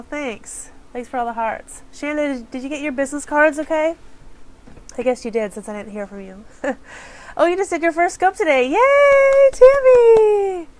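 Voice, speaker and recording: A woman with a head cold hosting a casual live stream from her phone. She is chatty and apologetic and greets viewers by name. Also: high voice. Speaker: live stream host for small business owners. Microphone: phone camera.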